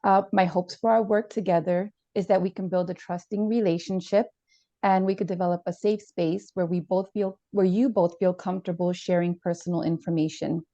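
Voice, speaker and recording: A formal, hesitant student therapist, played by a woman, filling her pauses with ums. Her voice is gravelly and mellow. The recording sounds dull and dry. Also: fairly low pitch, fairly even intonation, no room sound, close to an everyday voice. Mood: calm, formal. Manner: hesitant, with many fillers, formal. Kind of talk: role-played counseling session.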